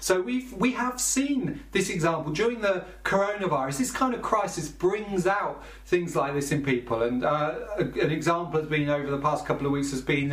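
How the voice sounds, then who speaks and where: A man's voice: a little high, a bit bright. A man preaching a sermon, explaining scripture in a conversational way with small restarts. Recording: roomy, from a distance.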